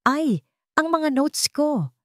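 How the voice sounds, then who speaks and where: A woman's voice: high-pitched, sing-song, takes it slowly, no room sound, clean studio sound, voice pushed up, heavily acted. Woman voicing a sweet, polite little girl. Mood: startled. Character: polite young girl violinist, about nine or ten, sweet and eager.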